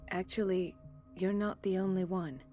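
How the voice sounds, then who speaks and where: An actress playing a composed, caring and determined hospital doctor in a video game, with a measured clinical delivery. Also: female voice, fairly low pitch, fairly even intonation, dark tone, faint music behind, natural voice. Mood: concerned. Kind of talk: video game cutscene acting.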